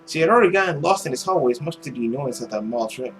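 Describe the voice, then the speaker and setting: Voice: mid-range voice, expressive, quick pace, soft background music. A playful, theatrical narrator reading a story aloud, stumbling now and then and dropping in joking asides. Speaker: let's play narrator reading a visual novel.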